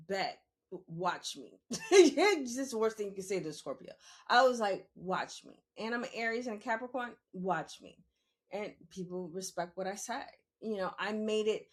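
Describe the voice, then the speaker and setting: A woman in a candid, conversational monologue. Her delivery is assertive and emphatic, and she circles back to phrases to drive them home. Her pitch sits in a middle range for a woman. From New York City, born in the Bronx and raised in Queens. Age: 50s. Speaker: podcast host.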